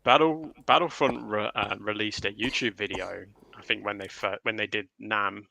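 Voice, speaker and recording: Bright, gravelly low-voiced man. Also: steady tone, moderate pace, no echo, rough recording. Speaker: livestream panelist. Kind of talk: casual chat.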